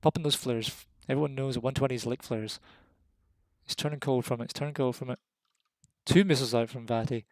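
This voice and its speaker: A Scottish man giving live, casual, excitable commentary on a competitive flight game match, voice jumping up at the big moments. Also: mid-range voice, brisk, no echo. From Scotland. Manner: excitable, rises sharply at kills; casual and jokey.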